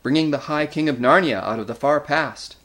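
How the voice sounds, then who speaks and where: A man voicing a practical, wary dwarf guide in a storybook, speaking tersely and often hushed. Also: fairly high pitch, fairly quick, pitched above the performer's own voice. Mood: announcing.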